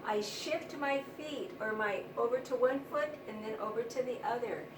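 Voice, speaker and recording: A woman teaching young children, explaining things simply and deliberately with plenty of repetition. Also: a little high. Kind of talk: read-aloud vocabulary lesson for young children. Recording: roomy, distant mic, noisy background.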